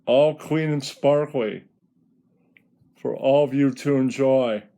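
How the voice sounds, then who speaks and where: Flamboyant male puppet entertainer, played by a man: slow, mellow delivery, preening, teasing, foul-mouthed. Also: medium pitch, steady tone. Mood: sassy, preening. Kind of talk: puppet show comedy monologue.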